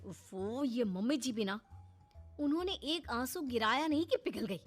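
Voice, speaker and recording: A woman's voice: fairly high pitch, expressive, normal speed, faint music behind. Woman playing a snide, resentful sister-in-law. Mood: muttered annoyance. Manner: grumbling, sarcastic. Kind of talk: animated moral story voice acting.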